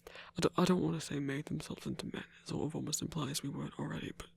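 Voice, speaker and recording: Man acting a hesitant, husky young monster hunter. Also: fairly high pitch, flat delivery, quick pace, a little mellow, heavily acted. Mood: awkward, musing. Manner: hesitant, stammering, nervous little laughs. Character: shy, earnest young male monster hunter, smitten and awkward. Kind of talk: intimate ASMR audio roleplay.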